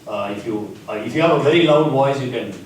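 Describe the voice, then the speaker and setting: A man moderating a conference Q and A, briskly directing the audience. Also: medium pitch, crowd noise. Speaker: session moderator. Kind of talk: running the Q and A. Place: large conference hall. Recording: echoey hall from far off, background hiss.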